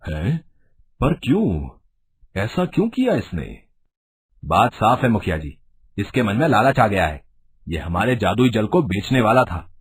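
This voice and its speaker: A man playing an authoritative, principled villager in an animated storybook tale, with a husky voice. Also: fairly low pitch, measured pace, pauses often, full character voice. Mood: accusing, stern. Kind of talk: animated storybook character acting.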